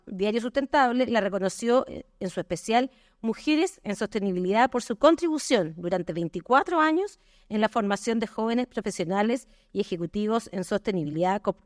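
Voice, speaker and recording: A woman reads speaker introductions at a conference in a formal presenter's manner. Her voice is fairly high, and a long hall reverb trails each phrase. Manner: formal, reads bios aloud. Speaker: event presenter.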